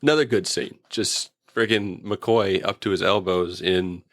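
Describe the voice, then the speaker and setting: A male co-host talks in a casual, joking review-chat style. His voice is fairly low, and the recording is dry with little room sound. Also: fairly even intonation, unhurried, fairly bright tone, dry recording. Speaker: podcast co-host.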